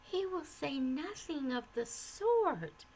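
Woman acting a kind, earnest, high-voiced friend. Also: lively intonation, slow, a little hiss or hum, full character voice, low-quality recording. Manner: gentle, earnest, reassuring. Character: kind, loving, earnest female friend and comforter.

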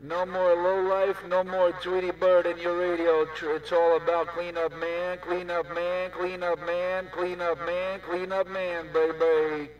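A man's voice: sits high, little pitch movement, unhurried, few pauses, far from the mic, lo-fi sound. A man chatting casually in CB radio slang, repeating his catchphrases over and over. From Newark, New Jersey, USA. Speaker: CB radio operator.

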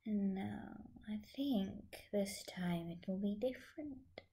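Woman doing a bitter teen girl villain, breathy n whispery, taunting, dark mellow tone, fan drama. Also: mid-range voice, expressive. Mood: sly, menacing. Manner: taunting, theatrical, drawn-out phrases. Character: vengeful teenage supervillainess, mocking, gleefully bitter.

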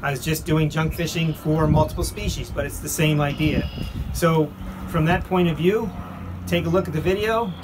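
A man's voice: on the high side, steady tone, moderate pace, background noise. A middle-aged man hosting a fishing video, explaining and narrating in a plain-spoken way. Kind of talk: explanatory vlog talk and narration.

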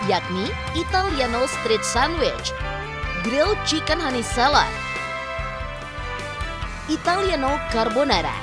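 A woman reading a scripted news voice-over in a lively, promotional way. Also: high voice, takes it slowly, long pauses. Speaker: news narrator. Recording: voice-over over loud music.

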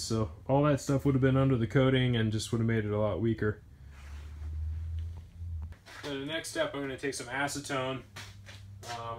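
A man's voice: mid-range voice, darkish tone. A young man talking plainly through his metalworking steps in a basement workshop, with frequent ums and uhs. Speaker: metalworking vlogger. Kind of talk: casual workshop narration.

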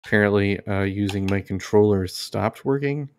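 A man's voice: on the low side, moderate pace. A man giving casual, understated let's play commentary, musing aloud as he plays. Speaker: gaming video host. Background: game music behind the voice.

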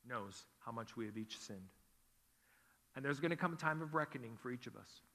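Male pastor preaching, middle pitch, loud then hushed, big hall echo. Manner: earnest preaching, swells then drops to soft emphasis. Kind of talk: sermon. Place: church sanctuary. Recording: long hall reverb.

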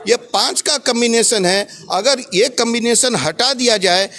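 High-voiced Indian man presenting at a trade booth. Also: normal speed, fairly bright tone. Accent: Indian English. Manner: explains point by point in lists, mixing Hindi and English. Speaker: company regional head presenting at a trade booth. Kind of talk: sales presentation to a visitor. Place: large indoor exhibition hall booth. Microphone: handheld microphone.

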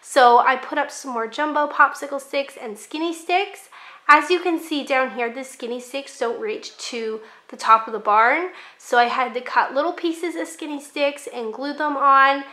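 A woman's voice: high-pitched, moderate pace, pushed and bright. A young woman casually narrating a craft project on her home channel, chatty and upbeat with light laughs.

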